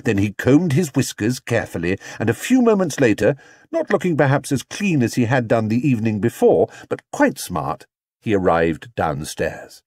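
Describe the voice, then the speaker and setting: A man's voice: medium pitch, big pitch swings, normal speed, a little mellow, no room sound, clean studio sound. A man reading a scripted children's story as an audiobook narrator.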